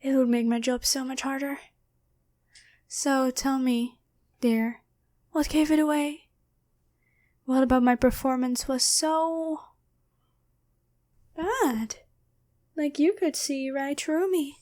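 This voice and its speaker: A sly female demon in a stolen girlfriend's body, played by a woman for an ASMR roleplay. The voice is high and feminine, taking its time over each phrase. The delivery is intimate and teasing, with a taunting edge. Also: fairly even intonation, slow, long pauses, darkish tone. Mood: smug, taunting. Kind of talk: ASMR roleplay, addressed to the listener.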